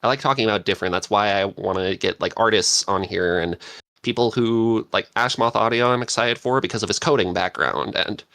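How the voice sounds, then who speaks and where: A man's voice: fairly low pitch, brisk, gravelly, a bit bright, no room sound. A man hosting a podcast in casual, unscripted chat, rambling along with enthusiasm. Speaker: podcast host and interviewer.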